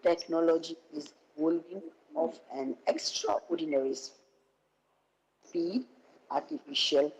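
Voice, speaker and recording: A woman with a husky voice, hoarse from a cold, giving a halting academic keynote over an online call. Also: sits low, very animated, darkish tone, poor audio. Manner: halting, with many fillers and restarts. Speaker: keynote speaker.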